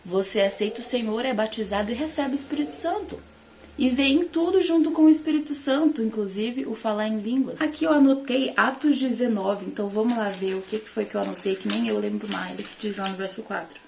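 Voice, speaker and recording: A woman's voice: fairly high pitch, brisk, a little mellow, a little hiss or hum. A Brazilian woman teaching the Bible informally to online followers, conversational and emphatic in her delivery.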